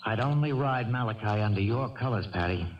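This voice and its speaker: An actor playing an earnest, loyal young stable lad and jockey, pleading and eager, in a radio drama. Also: male voice, fairly low pitch, brisk, slight background noise, lightly acted. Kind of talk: 1970s radio drama acting. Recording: dull, distant 1970s radio recording.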